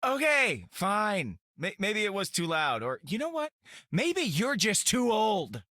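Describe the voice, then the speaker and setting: Smart-aleck space outlaw for a game, super animated, sharp bright voice, flippant slang. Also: male voice, high voice, takes it slowly, dry recording, studio quality, exaggerated voice. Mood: exasperated. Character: cocky, wisecracking space outlaw, young man. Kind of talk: video game character lines.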